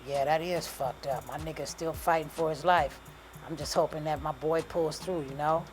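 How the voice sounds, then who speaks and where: Casting: woman narrator as a cocky young rapper guy, slangy, blunt and dismissive, low female register, faint music behind. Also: fairly even intonation, moderate pace, natural voice. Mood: casual, cool. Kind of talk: audiobook character dialogue.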